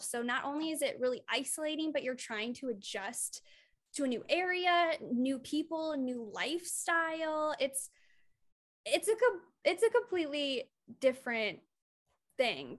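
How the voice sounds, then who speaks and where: A young woman from Iowa, co-hosting a podcast and telling stories in an animated, casual way. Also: high voice. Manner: animated storyteller, acts out quotes. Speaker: podcast co-host. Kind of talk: casual chat.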